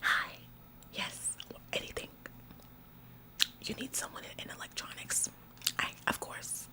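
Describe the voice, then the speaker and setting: Female performer as self-important female store clerk, ASMR roleplay. Whispered, smug, nagging, halting and repetitive. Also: lightly acted. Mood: eager, important. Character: bossy, officious female store employee, smug tattletale.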